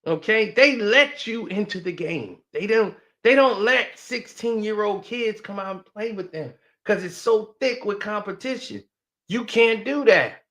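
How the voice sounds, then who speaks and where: A man's voice: high-pitched, lively intonation, a little slow, fairly bright tone. A man giving a heated, mocking sports commentary rant that repeats its points.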